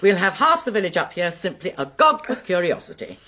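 A no-nonsense older English lady running her household. Her voice is husky and animated, briskly reassuring, in the style of radio drama. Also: fairly low pitch, heavily acted. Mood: amused, reassuring.

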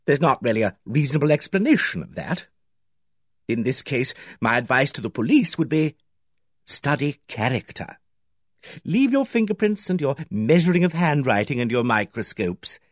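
A man reading aloud, voicing an effusive, fussy older male village gossip who talks in a theatrical, drawn-out way. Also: medium pitch, big pitch swings, no echo, studio quality. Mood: sly.

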